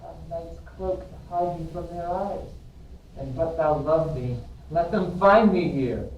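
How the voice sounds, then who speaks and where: A man's voice: a little high, a little slow, lo-fi sound, natural voice. A man reading the part of a lovestruck, ardent young man in a Shakespearean verse drama. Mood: ardent, bold. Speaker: amateur reader in a school play. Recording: roomy, distant mic, faint noise.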